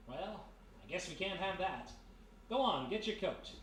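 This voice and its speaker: A woman's voice: fairly low pitch, expressive, unhurried. A woman playing a wry, slangy, wisecracking male private detective in old-time radio mystery style. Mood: teasing, affectionate. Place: live performance in a roomy historic house. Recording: echoey live stage, distant mic, hiss.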